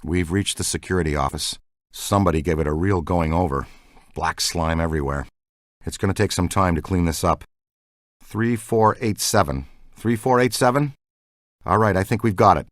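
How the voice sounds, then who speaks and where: An actor playing an excitable, geeky male scientist who investigates the paranormal in a video game, earnest and full of technical jargon. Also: low voice, normal speed, dry recording, studio quality, lightly acted. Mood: calm, serious. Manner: earnest, technical jargon, swings into boyish enthusiasm. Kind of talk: scripted game dialogue.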